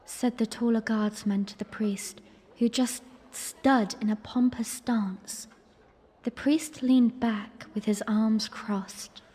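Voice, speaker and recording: A woman playing a young, refined princess, narrating her memories earnestly and formally in a breathy voice for an audiodrama. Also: fairly high pitch, fairly even intonation, slow pace, dark tone. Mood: curious, narrating. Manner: storytelling, formal. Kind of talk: first-person dramatic narration. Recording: long reverberant hall echo.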